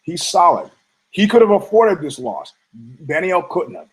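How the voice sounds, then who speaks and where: A man with a hoarse, husky voice that sits a little high for a man. He talks off the cuff as a podcast host, rambling, swearing and restarting his sentences as he goes. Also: lively intonation, moderate pace, poor audio. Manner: rambling, profane, frequent restarts. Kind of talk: casual rambling monologue.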